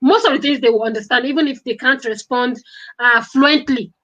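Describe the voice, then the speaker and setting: A woman's voice: high voice, fairly quick, a bit bright, lo-fi sound. A woman chatting live as a guest writer, earnest and emphatic, often repeating her phrases. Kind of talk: casual live chat. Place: at home abroad.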